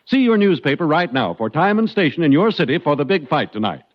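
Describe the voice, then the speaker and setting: Animated higher-voiced male 1950s radio announcer. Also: brisk, heavy background noise. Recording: muffled 1950s radio broadcast recording.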